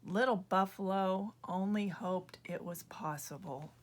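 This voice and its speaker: A woman reading her own children's book aloud as an expressive storyteller. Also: mid-range voice, a little slow, noisy background.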